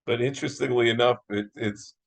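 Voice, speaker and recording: A man's voice: mid-range voice, steady tone, brisk, vocal fry. An American man moderating an interview in a casual, agreeable, conversational style, often echoing and affirming.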